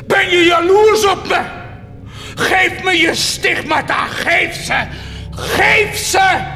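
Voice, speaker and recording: An actor playing a tormented, fervent man who defies God, in declamatory radio drama style, swinging between hushed and roaring delivery. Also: very high-pitched, slow, vocal fry, full character voice. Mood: desperate, furious. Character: tormented, fervent man defying God and claiming divine wounds. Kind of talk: theatrical radio drama acting. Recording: cavernous long reverb under loud music.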